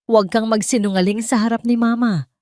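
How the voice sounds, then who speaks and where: A man's voice: high-pitched, steady tone, fairly quick, darkish tone, no echo, studio quality, voice pushed up, close to an everyday voice. A woman voicing a panicked young husband caught cheating, stammering and pleading in an audio drama. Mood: angry.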